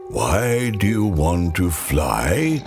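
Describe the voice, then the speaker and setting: Man as a friendly male tiger, low voice, unhurried, gentle, thoughtful, children's story. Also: sing-song, creaky, soft background music, exaggerated voice. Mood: concerned. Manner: slow, deliberate, encouraging.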